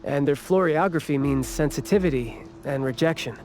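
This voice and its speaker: An actor voicing a calm, thoughtful male investigator in a video game, explaining things plainly. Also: on the high side, normal speed, natural voice.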